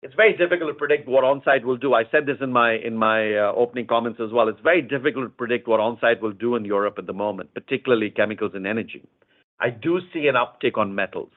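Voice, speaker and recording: A man speaking as a company executive, giving measured, explanatory answers in a semi-formal earnings call style, often repeating phrases. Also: mid-range voice. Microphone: conference call line. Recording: narrow tinny phone-line sound.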